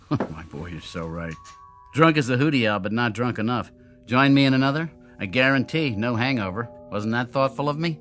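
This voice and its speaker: An actor playing a drunken, world-weary genius inventor who mocks himself bitterly, in radio drama style. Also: male voice, mid-range voice, expressive, moderate pace, a bit bright, faint music behind, lightly acted. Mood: boozy, self-mocking. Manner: wry, theatrical, world-weary.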